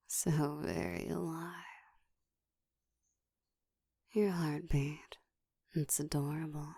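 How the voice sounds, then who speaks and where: A woman voicing a seductive, dominant ancient vampire in an intimate ASMR roleplay, teasing and commanding, often slipping into a soft whisper. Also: dry recording, clean studio sound, heavily acted. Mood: teasing, predatory. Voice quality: soft, breathy whispering mixed with low murmur.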